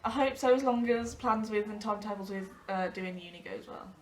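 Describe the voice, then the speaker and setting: A woman's voice: mid-range voice, fairly quick. A young woman in a casual interview, recorded from a distance with some background noise. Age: young adult. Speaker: amateur performer being interviewed. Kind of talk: casual chat.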